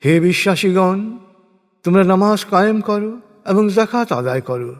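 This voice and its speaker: A man narrating translated scripture in a solemn, measured, formal way, phrase by phrase with falling endings. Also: on the high side. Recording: long reverberant hall effect.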